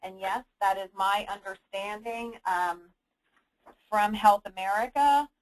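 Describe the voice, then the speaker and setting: A woman hosting a conference call briefing, answering submitted questions in an explanatory, businesslike and somewhat halting manner. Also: mid-range voice, rough recording. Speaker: host of an insurance agents' conference call. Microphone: telephone conference line.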